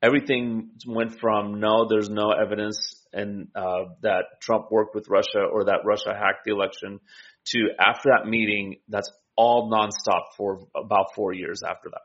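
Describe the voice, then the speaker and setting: A man's voice: fairly low pitch, little pitch movement, moderate pace, fairly bright tone, lo-fi sound. A man co-hosting a casual political discussion, explaining points and voicing imagined quotes as he goes. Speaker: political talk show co-host.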